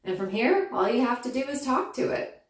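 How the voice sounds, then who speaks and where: A woman's voice: sits high, big pitch swings, normal speed, background noise. A woman vlogger speaking in a chatty, enthusiastic way, casually reviewing motorcycle gear and narrating rides.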